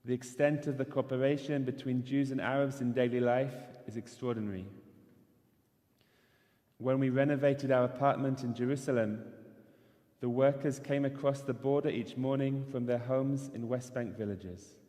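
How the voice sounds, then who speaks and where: A man giving a measured, earnest sermon as a rabbi in a synagogue. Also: medium pitch, fairly even intonation, warm tone. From not Israel. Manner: measured, earnest preaching cadence. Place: synagogue sanctuary. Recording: long hall reverb.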